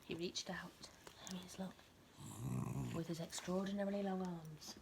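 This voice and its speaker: A woman's voice: lowish voice, steady tone, moderate pace, background noise. A woman reading a storybook expressively to a small child, explaining things in simple words as a teacher would. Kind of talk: animated storybook read-aloud with asides explaining words.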